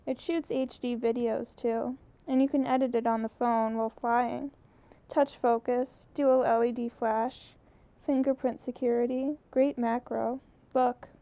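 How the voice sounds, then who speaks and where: An earnest, restless young woman photographer, as a woman reads her aloud in a storybook. Her voice is gravelly and darkly mellow. The muffled, lo-fi recording dulls it further. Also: a little high, level tone, a little slow, few pauses, no echo. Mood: eager.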